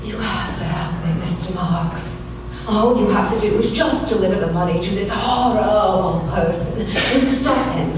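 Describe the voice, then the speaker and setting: A woman's voice: medium pitch, a little slow, close to an everyday voice. A woman playing a haughty glamorous film actress who fears scandal, in a theatrical, affected noir radio comedy style. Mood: pleading, anxious. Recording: very echoey room, distant mic, clear noise.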